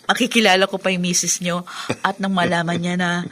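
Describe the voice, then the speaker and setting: A woman's voice: fairly low pitch, level tone, fairly quick, a bit bright, slight background noise, close to an everyday voice. An actress playing an earnest, self-sacrificing Filipino working wife and mother in a radio drama, speaking politely and deferentially. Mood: polite, eager.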